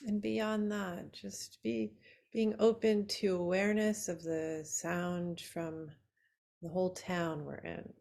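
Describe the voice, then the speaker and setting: Slow-speaking woman guiding a relaxation meditation. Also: fairly low pitch, little pitch movement, gravelly, darkish tone. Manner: soothing, unhurried, with long pauses between short phrases. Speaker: yoga nidra meditation teacher.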